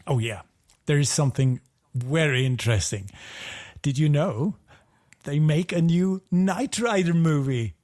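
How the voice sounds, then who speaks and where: A man's voice: fairly high pitch, unhurried, a little mellow. A man co-hosting a podcast in casual review chat, hesitantly qualifying his opinions and repeating words as he goes. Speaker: podcast co-host.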